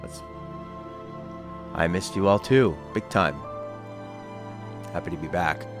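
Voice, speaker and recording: A man casually commenting while streaming a video game, chatty and breaking into sudden excited exclamations. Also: low voice, slow. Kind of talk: casual let's-play commentary. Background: game music and sound.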